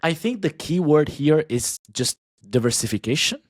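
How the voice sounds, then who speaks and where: A man guesting on a podcast as an online language teacher, chatting casually and talking in quick bursts with frequent restarts. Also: a little high, sing-song, no echo, clean studio sound.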